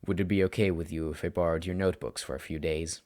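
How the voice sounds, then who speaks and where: A man voicing a stern, tired male teacher who is gruff but caring, speaking in a cold, flat, low-key way. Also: fast talker, close to an everyday voice. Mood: businesslike.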